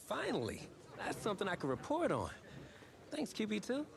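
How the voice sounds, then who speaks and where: A man's voice: high voice, huge pitch swings, moderate pace, gravelly, heavily acted. An actor playing an eager male sports reporter who interviews a player in an upbeat way, heard through game audio. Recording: game audio with background noise.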